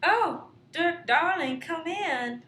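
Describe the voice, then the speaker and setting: A high-voiced belle in a radio play spoof, coy and fluttery. She draws her phrases out slowly in a bright drawl, in an echoey room. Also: lively intonation, full character voice. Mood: coy, flirtatious. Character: coy, fluttery Southern belle of faded elegance. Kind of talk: satirical radio play acting. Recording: echoey room with faint noise.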